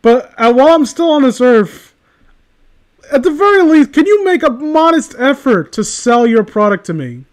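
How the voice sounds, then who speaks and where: A man with a high-pitched voice chats casually and rants as he goes. He rambles through his opinions, exasperated and sweary. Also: big pitch swings, normal speed. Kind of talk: casual ranting chat.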